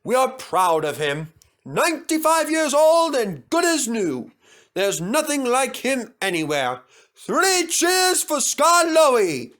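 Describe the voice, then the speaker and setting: A man's voice: very high-pitched, big pitch swings, slow pace, a bit bright, pitched above the performer's own voice, full character voice. A man doing a proud, hearty railway owner. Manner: proud and hearty, speech-making.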